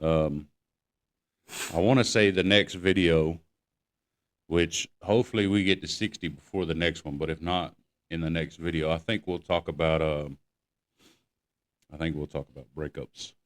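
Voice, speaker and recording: Guy w/ a deep voice, slangy laid back podcast chat. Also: fairly even intonation, normal speed, pauses often. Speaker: podcast co-host. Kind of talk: casual chat.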